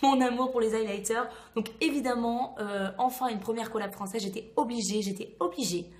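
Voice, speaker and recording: A woman with a hoarse voice recovering voice loss, chatting enthusiastically as she reviews a beauty product to camera. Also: fairly high pitch, a little fast. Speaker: beauty vlogger. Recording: echoey, reverberant room.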